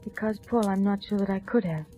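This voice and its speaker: An actress playing an anxious, haunted wife in a 1940s radio suspense drama, with intense, dramatic delivery. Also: female voice, medium pitch, fairly quick, dark tone, close to an everyday voice. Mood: tender, defiant. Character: anxious, haunted vaudeville wife longing for divorce. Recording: dull old radio recording with music.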